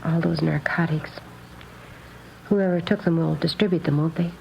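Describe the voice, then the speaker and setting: An actress playing an earnest, naive and very proper nun in a 1950s radio drama, speaking in careful, precise phrases. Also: female voice, low voice, steady tone, moderate pace, gravelly. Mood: troubled, earnest. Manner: prim, proper, carefully enunciated. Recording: dull old radio recording, faint noise.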